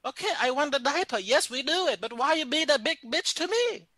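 A man's voice: very high voice, lively intonation, brisk, bright, forceful tone, dry recording, pitched above the performer's own voice, exaggerated voice. A man voicing an irate woman restaurant owner who speaks broken English and stammers out insults. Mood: indignant. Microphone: phone call.

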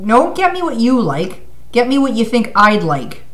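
A woman's voice: a little high, sing-song, moderate pace. A middle-aged woman chatting casually with family in a wry, exasperated, teasing way. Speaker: daughter on a phone call. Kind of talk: casual family phone chat. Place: living room at home. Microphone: camera at about arm's length.

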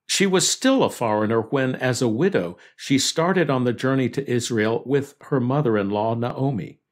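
A man hosting a devotional podcast, reading a scripted reflection on scripture in a measured, earnest way. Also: mid-range voice.

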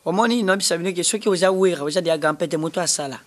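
A man's voice: high voice, rapid-fire. A young man with a Congolese accent, a musician guest in a TV studio interview, talking animatedly and gesturing with his hands. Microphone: standing studio mic.

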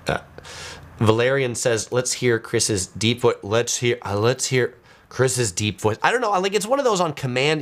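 A man in his forties with a middle-pitched voice hosts a casual livestream from a small home studio. He reads viewer comments aloud and answers them conversationally, as though speaking to one person across from him. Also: fairly bright tone. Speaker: host of a travel video channel.

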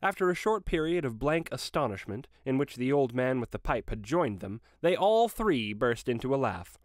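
A man narrates a storybook dramatically. His pitch moves in lively sweeps, and the sound is dry and close. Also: normal speed, no room sound.

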